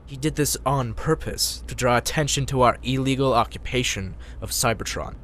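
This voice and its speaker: Bright, fretful robot official played by a man. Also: mid-range voice, normal speed, a little hiss or hum, close to an everyday voice. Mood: matter-of-fact. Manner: fussy, nervous. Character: anxious, fretful male robot official, dependent and easily rattled. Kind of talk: animated motion-comic dialogue.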